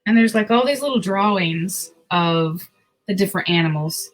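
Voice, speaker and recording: A woman's voice: mid-range voice, moderate pace. A woman hosting a picture book read-aloud, telling the story expressively with casual asides.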